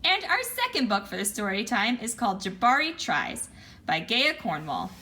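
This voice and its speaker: A woman's voice: on the high side, very animated, normal speed, sharp tone. A woman reading children's picture books aloud as narrator for a story time. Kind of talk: animated children's picture book read-aloud. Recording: faint background noise.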